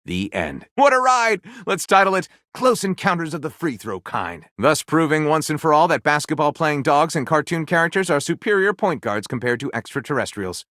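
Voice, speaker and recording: Theatrical storyteller with swooping delivery. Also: male voice, a little high, very animated, moderate pace, few pauses, a bit bright, no echo, studio quality. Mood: gushing, excited. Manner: theatrical, mock-grandiose, sardonic. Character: theatrical male storyteller, wry, gushing and snide critic. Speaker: voice actor. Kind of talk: dramatic storybook narration for a video game.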